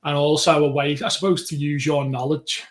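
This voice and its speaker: A man with a Liverpool accent, co-hosting a podcast in relaxed, rambling chat. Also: on the high side, moderate pace. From England. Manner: chatty, rambling with frequent restarts. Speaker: podcast co-host.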